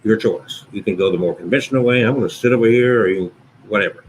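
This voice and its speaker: A man with a very low, booming voice explains how a ceremony usually runs. He talks plainly and at an easy pace, circling back to restate his points. A faint room hiss sits under the recording. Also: low-quality recording. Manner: unhurried, conversational, repeats and restates points. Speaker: wedding officiant. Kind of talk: instructional talk to camera.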